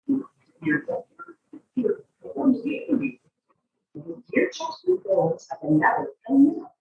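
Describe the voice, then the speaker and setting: A woman reading a picture book aloud to a child, expressive, drawing out words and adding chatty asides. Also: fairly high pitch, very echoey, far from the mic, muffled recording, slight background noise.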